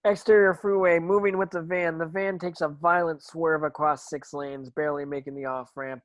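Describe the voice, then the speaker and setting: A man's voice: fairly high pitch, steady tone, moderate pace, no echo. A man narrating screen action from a script as a stage directions reader, now and then stumbling over words.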